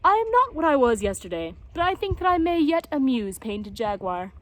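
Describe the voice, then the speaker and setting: Woman as an earnest male tortoise, storybook, formal, encouraging, faintly smug, animated pitch. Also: moderate pace.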